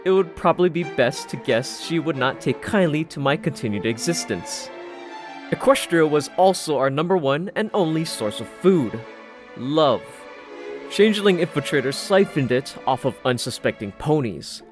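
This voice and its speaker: Animated, sardonic male story narrator over music. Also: a little high. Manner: dry, sardonic. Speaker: audiobook narrator. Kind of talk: first-person scripted story narration.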